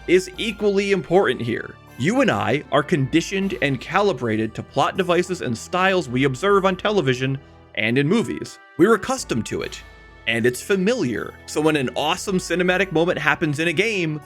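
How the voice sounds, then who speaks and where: A man's voice: on the high side, expressive, normal speed, fairly bright tone. A man narrating a scripted video essay in an emphatic, conversational way, speaking straight to the viewer. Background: background music.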